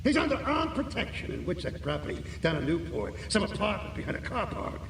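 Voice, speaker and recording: A man's voice: sits high, wildly swooping pitch, brisk, gravelly, a bit bright, roomy sound, in a large hall, distant mic, slight background noise, exaggerated voice, rough recording. A man playing a crooked, middle-aged American cop in a video game, agitated, scheming and full of streetwise slang. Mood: urgent contempt. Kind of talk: video game cutscene acting.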